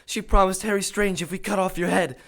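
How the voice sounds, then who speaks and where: A woman's voice: medium pitch, fairly even intonation, brisk, fairly bright tone, close to an everyday voice. Terrified stammering captive acolyte, voiced by a woman, begging for his life. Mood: pleading. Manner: stammering, pleading.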